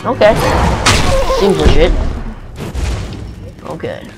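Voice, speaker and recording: A man's voice: on the high side, sing-song, takes it slowly, very noisy. A young man giving casual, goofy gameplay commentary, reacting aloud with exclamations. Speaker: gamer. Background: game music.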